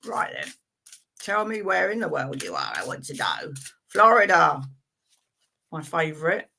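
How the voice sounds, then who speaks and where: A woman's voice: deep voice, wildly swooping pitch, unhurried, gravelly, sharp tone. A woman hosting a live tarot reading stream, chatting in a friendly way with viewers and reading cards for them, with frequent fillers and laughs.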